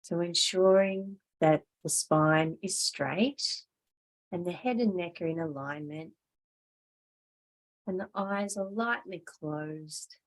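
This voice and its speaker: Slow-speaking woman guiding yoga relaxation. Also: lowish voice, low-quality recording. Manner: slow, gentle, measured guiding. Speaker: yoga teacher. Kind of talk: guided meditation, yoga class instruction.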